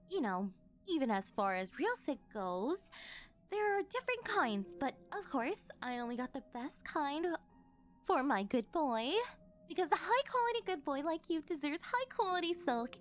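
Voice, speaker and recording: A woman's voice: very high-pitched, exaggerated voice. A woman playing a rich, possessive older yandere girlfriend in a scripted ASMR roleplay, coaxing sweetly and rambling a little awkwardly.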